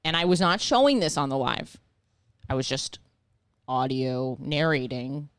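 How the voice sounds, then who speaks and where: A woman in her thirties with a deep voice, a comedian guest chatting casually on a podcast, brash and profane as she tells stories. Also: measured pace, clear, resonant tone, no echo, studio quality. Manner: brash, profane, animated storytelling. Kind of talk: casual chat. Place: small living room podcast set. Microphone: handheld mic, headphones.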